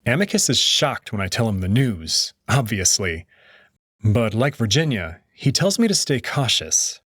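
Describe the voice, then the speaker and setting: Husky, expressive male story narrator. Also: mid-range voice, measured pace, no room sound, clean studio sound. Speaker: audio drama narrator. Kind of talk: first-person story narration.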